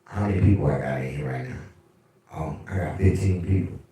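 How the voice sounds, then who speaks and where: A man with a deep bass voice hosting a casual livestream, telling animated, profane stories. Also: brisk, far from the mic. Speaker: livestream host. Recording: very echoey room, away from mic.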